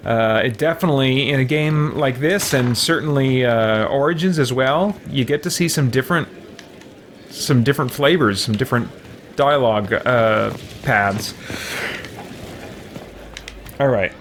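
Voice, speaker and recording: A man's voice: mid-range voice, unhurried, long pauses, a little hiss or hum. A man in his thirties doing casual let's-play commentary from a room at home, hesitantly thinking aloud. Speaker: gaming streamer. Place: small indoor room at home.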